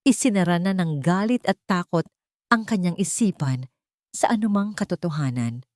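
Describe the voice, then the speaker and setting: Lady narrating a dramatic audio story, lowish voice, very dry sound with no room. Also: expressive, normal speed, a little mellow, no room sound.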